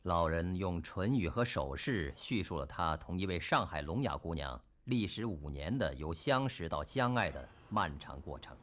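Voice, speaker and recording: A man reading scripted documentary narration in a measured, solemn delivery. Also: deep voice, expressive, a bit bright, slight background noise. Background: music.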